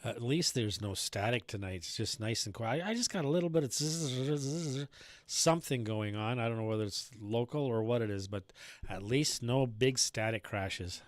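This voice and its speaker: A man's voice: medium pitch, normal speed, no room sound, studio quality. A man hosting a ham radio station, chatting casually and explaining things in an easygoing way. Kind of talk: casual on-air chat. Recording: heavy hiss from radio gear.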